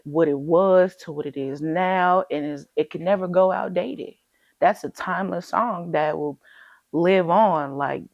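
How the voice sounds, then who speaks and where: A confident woman talks about her music in a relaxed way. Her voice sits a little low. The recording is dry and slightly dull. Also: no room sound. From Chicago, USA. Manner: relaxed and confident, slips into animated quoting of herself. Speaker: rapper, interview guest. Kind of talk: casual interview.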